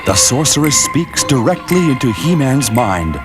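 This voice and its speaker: A man's voice: mid-range voice, big pitch swings, moderate pace, lightly acted. A man playing a dramatic adventure storyteller, delivering theatrical scripted narration for a 1980s children's cartoon. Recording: loud music behind the voice.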